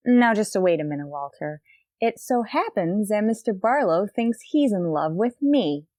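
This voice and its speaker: An actress playing a wry, quick-witted high school teacher in an old-time radio comedy, arch and polite in manner. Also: female voice, lively intonation, a little mellow, dry recording, studio quality, lightly acted. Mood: worried. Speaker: lead actress.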